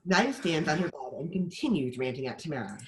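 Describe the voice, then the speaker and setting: A woman's voice: low voice, very animated, a little fast. A woman reading stage directions as scripted narration at a screenplay table read.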